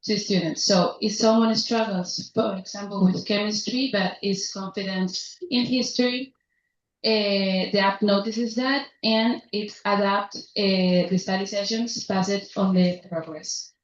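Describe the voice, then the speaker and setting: Young woman, student presenter, halting, self-correcting, far off, echoey room. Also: mid-range voice, fairly even intonation, slight background noise. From Spain. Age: young adult. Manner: halting, stops to correct herself. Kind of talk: conference presentation. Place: conference hall. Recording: echoey hall, distant muffled mic.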